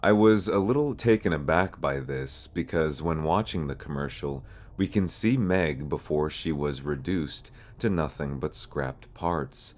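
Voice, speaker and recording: A man's voice: rumbling low, a little slow, hardly any pauses. A man hosting an explainer series in a semi-scripted narration style. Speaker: host of an analog horror explainer series.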